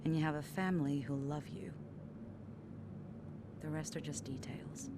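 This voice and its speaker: A calm, supportive woman in a game drama. She is low-pitched and softly reassuring. Loud noise sits behind her voice. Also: measured pace. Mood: gentle, consoling. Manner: soft, gentle, reassuring. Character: calm, supportive woman consoling a shaken racing driver. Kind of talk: dramatic video game cutscene acting.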